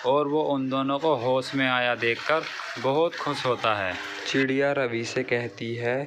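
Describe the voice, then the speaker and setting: A man's voice: medium pitch, steady tone, measured pace, noisy background. A man narrating a scripted children's moral story as a storyteller.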